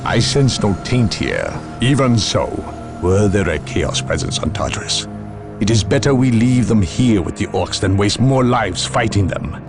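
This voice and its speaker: An imperious inquisitor, low and gravelly. He speaks with cold courtesy and condescension, his pitch moving dramatically, over clear game cutscene music. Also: animated. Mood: grave, urgent. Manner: clipped, condescending, deliberate. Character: imperious, coldly polite inquisitor, a powerful zealous official.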